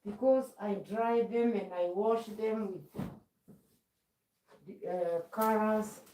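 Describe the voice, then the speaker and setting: A woman's voice: medium pitch, slow pace, warm tone, far from the mic, slight background noise. A middle-aged woman whose first language is Swahili, chatting casually on a live stream while she does chores at home. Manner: chatty, moves around doing chores while talking. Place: home, indoors. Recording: roomy sound, speaker often away from the mic.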